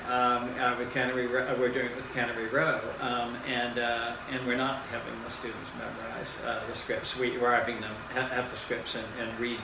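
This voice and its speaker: A man's voice: mid-range voice, flat delivery, brisk, creaky, few pauses, echoey room, background noise. A man interviewing a teacher, speaking hesitantly with many fillers and often murmuring agreement. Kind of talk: reflective interview.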